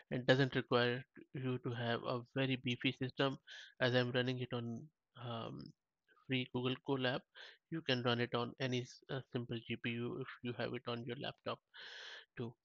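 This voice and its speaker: A man talks through a software demo in a loose, chatty way, restarting his sentences as he thinks aloud. His voice sits at an ordinary pitch. The recording is dull and muffled, with a very dry sound. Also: flat delivery, normal speed, no echo. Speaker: tech tutorial host. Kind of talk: casual screen-share walkthrough.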